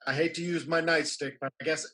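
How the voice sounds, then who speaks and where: A man's voice: fairly high pitch, fairly even intonation, fairly quick, bright, forceful tone. An actor playing a firm, folksy small-town policeman in an old-time radio play. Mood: stern.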